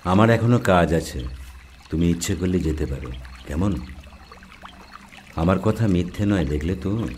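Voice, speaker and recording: A man's voice: sits low, measured pace, long pauses, darkish tone, a little hiss or hum. A man playing a secretive, commanding tantric sorcerer in an audio story, speaking in an ominous way. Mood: cryptic, dismissive. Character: Surdas, a hungry-eyed, secretive male tantric sorcerer. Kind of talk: dramatized audio story acting.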